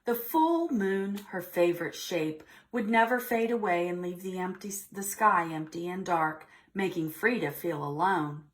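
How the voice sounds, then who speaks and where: A woman leading a preschool storytime, reading a picture book aloud expressively and pausing to ask the children questions. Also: lowish voice, expressive, low-quality recording.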